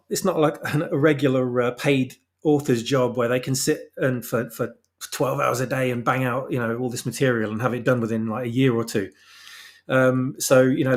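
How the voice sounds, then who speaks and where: A man from the United Kingdom chatting casually as an interview guest, rambling and self-deprecating about his hobby writing. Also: mid-range voice. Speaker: guest, hobby fan-fiction writer.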